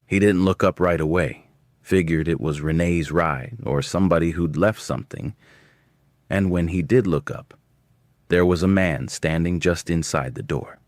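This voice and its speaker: A man's voice: low voice, moderate pace. A man narrating a scripted audio story as its storyteller.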